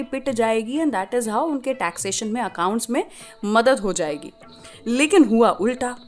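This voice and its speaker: An Indian woman presents a radio show as an expressive storyteller. Her voice sits a little high and moves with animated swings. She mixes Hindi and English over a music bed. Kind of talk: scripted radio storytelling about classic film music.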